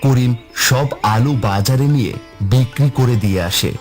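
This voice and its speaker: A man's voice: mid-range voice, normal speed, a little mellow, faint music behind. A man giving scripted narration as the narrator of a cartoon story.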